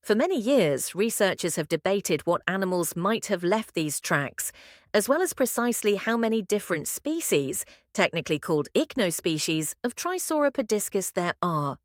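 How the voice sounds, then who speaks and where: A woman reads scripted material aloud with measured, careful phrasing. Her voice sits at a medium pitch for a woman. The sound is dry, with almost no room around it. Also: sing-song, dry recording. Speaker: narrator of test practice examples. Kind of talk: scripted read-aloud narration of exam practice passages.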